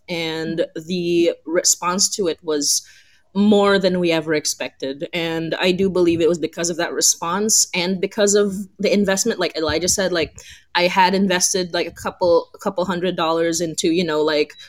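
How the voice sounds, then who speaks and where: A woman's voice: lowish voice, steady tone, normal speed, no echo. A woman co-hosting a podcast in casual chat, talking in a rambling, giggly way peppered with filler words. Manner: rambling, frequent "like" and laughter. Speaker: podcast creator and co-host.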